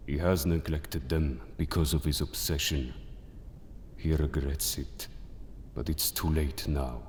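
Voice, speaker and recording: A slow deep merciless mystic judge, ominous, big echoey hall with music. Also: male voice, a little hiss or hum. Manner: grave, deliberate, ominous. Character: solemn, merciless mystic judge passing sentence on souls. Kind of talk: dramatic audio drama monologue. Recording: long cavernous reverb with music behind.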